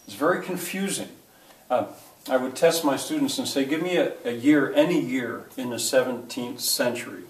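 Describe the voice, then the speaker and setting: An older man teaches a history class, stopping and restarting with lots of ums and uhs as he works through his notes. His voice comes from a distance in a roomy space with a clear echo. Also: mid-range voice. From United States. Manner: halting, full of fillers and restarts. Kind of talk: lecture to a class. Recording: echoey room, voice from far off.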